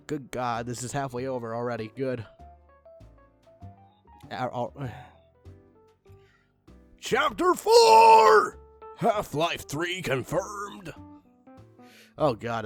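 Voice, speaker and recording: Guy reading fanfic for laughs, kinda high voice, slow and theatrical w/ exasperated asides, music behind. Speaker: fan fiction reader, channel host. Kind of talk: comedic dramatic reading of fan fiction.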